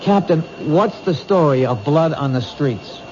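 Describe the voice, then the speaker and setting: A man's voice: fairly high pitch, expressive, measured pace, a crowd in the background. A man playing an earnest, dogged police detective in 1970s radio drama, speaking with urgent insistence. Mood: respectful, insistent. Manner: urgent, insistent, reasoning things out aloud. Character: earnest, dogged male police detective protecting a threatened woman. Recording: noisy, dull 1970s radio recording.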